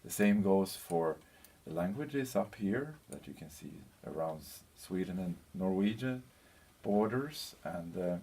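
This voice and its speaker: A man presenting an informal slide lecture as a teacher, speaking in a hesitant, halting way with frequent pauses. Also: deep voice, animated, mellow. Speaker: university lecturer presenting a lesson. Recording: clear background noise.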